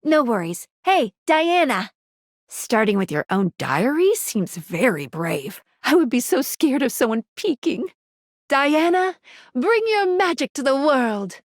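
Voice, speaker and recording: A woman's voice: high-pitched, sing-song, a little slow, dry recording, clean studio sound, heavily acted. A woman voicing a perky, chatty guide in a video game, with a breezy, playful, slangy manner. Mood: gently cautioning.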